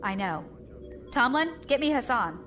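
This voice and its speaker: A woman playing a cool, decisive space fleet leader in an audio drama, calm, dry and commanding. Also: a little high, unhurried, music in the background. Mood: calm, confident.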